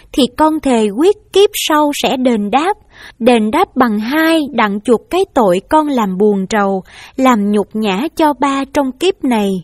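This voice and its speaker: A woman's voice: high-pitched, dry recording, clean studio sound, pitched above the performer's own voice. A woman doing a sobbing remorseful young daughter. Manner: tearful pleading. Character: young daughter, remorseful, begging her parents.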